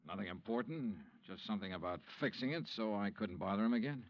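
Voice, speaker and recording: An actor playing a dogged, hard-boiled insurance investigator in a 1950s radio drama, clipped and wry. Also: male voice, on the low side, lively intonation, fast talker, no echo. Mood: probing. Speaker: lead actor and narrator. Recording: dull old radio recording, music behind.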